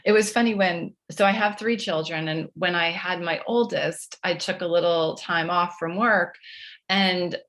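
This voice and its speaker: Middle-aged woman with a lowish voice. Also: measured pace, fairly bright tone. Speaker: guest author. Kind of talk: conversational interview storytelling.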